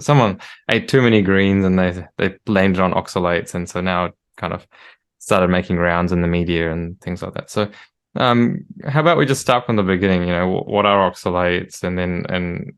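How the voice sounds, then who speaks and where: A man hosts a relaxed interview, backing up his guest with quiet "mm"s and hesitant fillers. His voice is very low and smooth, and his delivery is flat and even. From New Zealand. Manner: easygoing, soft affirmations, some hesitant fillers. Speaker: podcast host. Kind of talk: casual interview chat.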